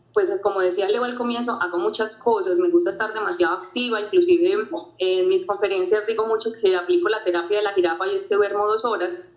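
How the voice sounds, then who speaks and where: A woman speaks earnestly and reflectively, circling back over her own words as she shares a personal motivational story. Her voice sits at a middling pitch and rings around a roomy space. The recording sounds dull and slightly lo-fi. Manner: earnest, reflective, repeats phrases. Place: home during quarantine.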